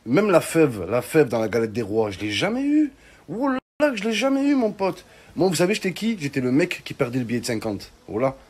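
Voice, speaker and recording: A man's voice: a little high, huge pitch swings, brisk, darkish tone. A man telling comic stories in casual street slang, in an exclamatory, mock-indignant way. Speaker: online comedian. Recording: clearly noisy background.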